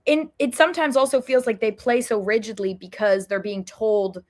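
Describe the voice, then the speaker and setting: A woman's voice: fairly high pitch, steady tone, normal speed. Woman chatting casually as podcast co-host. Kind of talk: casual fan chat.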